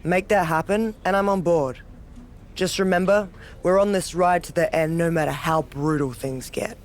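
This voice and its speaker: A woman's voice: on the low side, normal speed, slight background noise, lightly acted. A woman playing a cocky, dominant, sardonic wrestler who relishes chaos in a video game cutscene. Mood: defiant, assured. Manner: sardonic, self-assured. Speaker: pro wrestler character.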